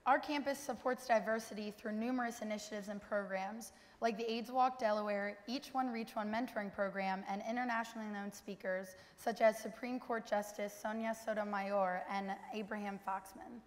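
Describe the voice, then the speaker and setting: Young woman reading her part on stage, mid pitch, hall reverb. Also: fairly even intonation, moderate pace, few pauses. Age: young adult. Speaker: student performer in a readers theater. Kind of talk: scripted stage recitation.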